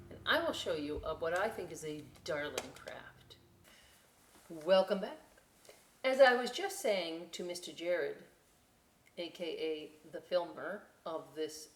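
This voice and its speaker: A woman hosting a children's storytime, reading and chatting informally in an expressive, emphatic way. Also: fairly low pitch. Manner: expressive, emphatic, unhurried delivery. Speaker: library storytime host. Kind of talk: children's read-aloud with informal asides. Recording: echoey room.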